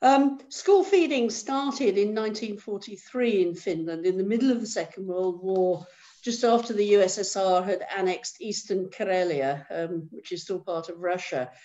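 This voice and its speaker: A woman's voice: mid-range voice, moderate pace, dark tone, lo-fi sound. A woman professor giving an earnest talk at a rally over a video call, often restarting her words. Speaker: guest speaker, professor.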